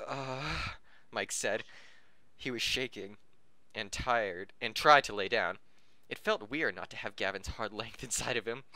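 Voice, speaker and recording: A man reads a story aloud for a podcast in a bright, forceful voice. He sounds informal and stumbles into corrections and joking asides. The sound is dry, with little room on it. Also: fairly low pitch, unhurried, dry recording. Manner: breaks off to laugh, joke and correct misreadings. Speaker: podcast host reading fan fiction aloud.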